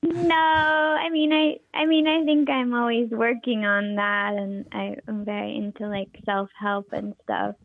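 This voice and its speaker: High-voiced young woman, halting and gravelly. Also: little pitch movement, no echo. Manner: hesitant, many fillers and restarts. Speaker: performance artist and teacher. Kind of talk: interview conversation. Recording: tinny phone-like line, dry.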